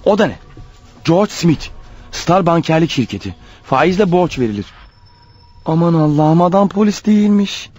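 Desperate fugitive, high-pitched, fast-talking, agitated, paranoid, radio theatre monologue. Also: male voice, breathy edge, lo-fi sound. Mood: agitated, dismayed. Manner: agitated, urgent, talking his thoughts out loud. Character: desperate, paranoid fugitive killer, a married man. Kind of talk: radio drama acting with spoken-aloud thoughts.

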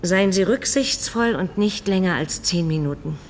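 Woman acting firm female doctor, very low pitch, clinical manner, radio play, faint ambience. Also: lively intonation, moderate pace, a little hiss or hum, close to an everyday voice. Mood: reluctant, firm. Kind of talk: radio drama dialogue.

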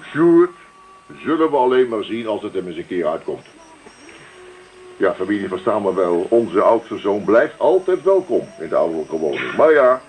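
Jovial family father, very animated. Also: lowish voice. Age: middle-aged. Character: jovial, sentimental father and grandfather giving a speech. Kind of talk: Dutch radio drama acting. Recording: noisy old radio play recording.

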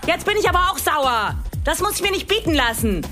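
A woman's voice: extremely high pitch, sing-song, brisk, over music. An actress playing a proud, offended young female adult film performer, indignant and self-righteous, in a radio crime comedy.

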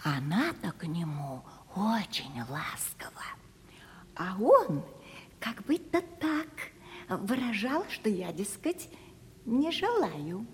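An actress playing a sly, gossipy, fawning older servant widow in a Russian radio comedy, speaking in an obsequious, insinuating, folksy way. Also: female voice, medium pitch, sing-song, takes it slowly, a little mellow, slight background noise. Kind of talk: 1970s Russian radio theatre comedy acting. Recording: reverberant studio radio play recording.

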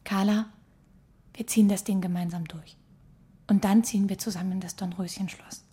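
A woman's voice: medium pitch, fairly even intonation, moderate pace, mellow, close to an everyday voice. An actress playing a fearless, cool, scheming woman in a radio play, with a blunt, mocking manner. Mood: brisk, scheming. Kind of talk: radio play acting in German.

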